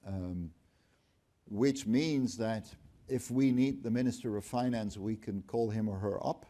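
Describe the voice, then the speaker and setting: A middle-aged man, a company finance chief speaking on an auditorium stage, explaining things in a measured way with dry humor and frequent ums. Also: lowish voice, mellow. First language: Dutch. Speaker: company CFO, guest speaker. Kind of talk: onstage conversation. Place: auditorium stage before an audience.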